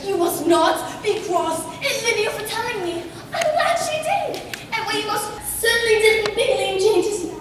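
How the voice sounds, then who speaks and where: A woman's voice: extremely high pitch, animated, moderate pace, few pauses. A woman on stage playing a spirited, earnest young bride-to-be in a theatrical manner. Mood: grateful, gentle. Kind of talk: amateur stage play acting. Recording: echoey hall, distant mic, noisy.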